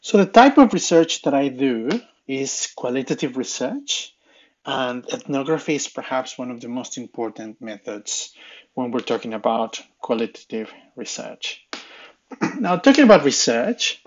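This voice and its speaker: A man's voice: medium pitch, animated, measured pace, dull audio, a little hiss or hum. A man in his thirties with a Mexican Spanish accent, giving a recorded slide lecture as a university lecturer, hesitant with many fillers and restarts.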